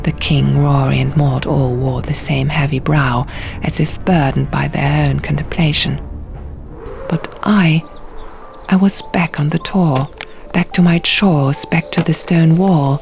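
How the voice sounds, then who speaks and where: A woman narrating a fantasy audiobook in a hushed, measured, dramatic storytelling style. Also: low-pitched, fairly even intonation, gravelly. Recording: music behind the voice.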